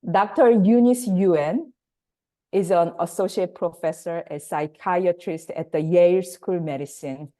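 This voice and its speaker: A woman whose first language is Korean, hosting and introducing speakers on a video call webinar, measured with pauses. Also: on the low side, mellow. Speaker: workshop host and facilitator.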